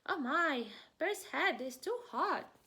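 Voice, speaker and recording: A kindly little storybook mouse, acted out by a woman reader, with a voice lifted high above normal. It fusses tenderly over a friend, worried and caring. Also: expressive, takes it slowly, sharp tone, full character voice.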